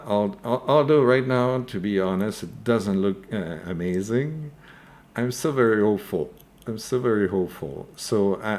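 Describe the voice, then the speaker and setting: A man with a French accent hosts a live stream and answers viewer questions as they come in. His voice sits fairly low, and he speaks slowly and unhurriedly. He hesitates often and keeps checking in with "okay?". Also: darkish tone. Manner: hesitant, frequent "uh" and tag "okay?". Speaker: crypto news host.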